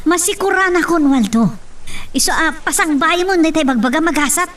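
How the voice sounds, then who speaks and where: High-pitched nagging Filipino wife played by an actress. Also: female voice, fairly quick, a bit bright. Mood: complaining. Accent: Ilocano. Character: nagging, regretful, envious wife of a poor man. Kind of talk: Ilocano audio drama acting. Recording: distant mic, faint noise.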